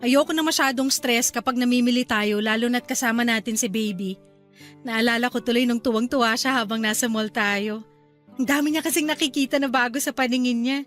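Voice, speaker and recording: A woman playing a cheerful young wife and new mother in a radio drama, talking in a bright, chatty and eager way. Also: fairly high pitch, fairly quick, faint music behind, close to an everyday voice.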